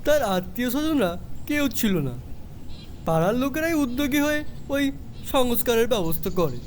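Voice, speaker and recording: A man's voice: very high-pitched, big pitch swings, moderate pace, a little hiss or hum. A man playing a gossipy, matter-of-fact local acquaintance in a conversational Bengali audio drama scene.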